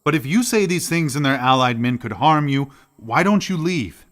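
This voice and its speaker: A man acting as an earnest professor in a radio drama, with very lively, swooping intonation. He questions everything with astonishment and concern, in a mid-range male voice. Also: mid-range voice, moderate pace, close to an everyday voice. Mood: astonished, questioning.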